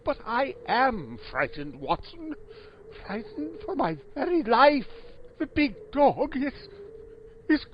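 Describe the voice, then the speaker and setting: A man's voice: very high voice, big pitch swings, slow pace, lo-fi sound, a little hiss or hum, exaggerated voice. A man playing an eccentric, cowardly, bumbling detective in a comic audio drama, theatrical and melodramatic. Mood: terrified, whimpering.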